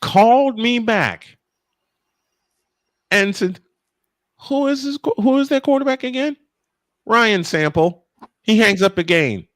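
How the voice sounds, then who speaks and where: Animated higher-voiced male sportscaster. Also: no room sound. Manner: animated, emphatic storyteller with drawn-out dramatic phrasing. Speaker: play-by-play sportscaster. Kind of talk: live baseball commentary mixed with animated storytelling.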